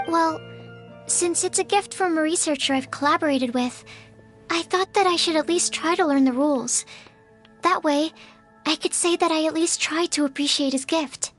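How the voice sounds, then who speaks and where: High-voiced, polite young researcher. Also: female voice, fairly bright tone, soft background music. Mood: polite, curious. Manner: polite, measured, articulate. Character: polite, studious young woman researcher, composed and curious. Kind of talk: video game character acting.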